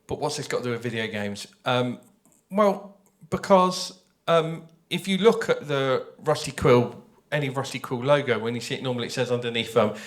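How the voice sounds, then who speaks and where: Male panel speaker, mid-pitched, thoughtful and explanatory, conversational, repeats phrases for emphasis. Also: moderate pace, hardly any pauses. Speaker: audio drama writer on a festival panel.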